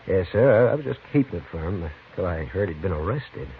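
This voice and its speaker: A timid polite old west gunsmith, low voice, hissy old radio. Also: male voice, animated, fast. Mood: nervous, deferential. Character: deferential, nervous small-town gun repairman. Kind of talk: 1950s radio Western acting. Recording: tinny, faintly noisy 1950s radio recording.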